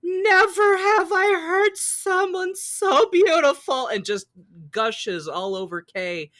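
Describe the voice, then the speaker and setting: Lively, bright-voiced American man co-hosting. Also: extremely high pitch, takes it slowly, no room sound, clean studio sound. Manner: jokey, expressive, profane. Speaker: podcast co-host. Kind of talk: casual chat.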